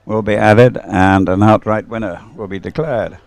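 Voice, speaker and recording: A man's voice: lowish voice, steady tone, moderate pace, vocal fry, no room sound. An older man giving live commentary on a boat regatta, chatty and hesitant, often drifting into rambling asides. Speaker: sports commentator, former umpire.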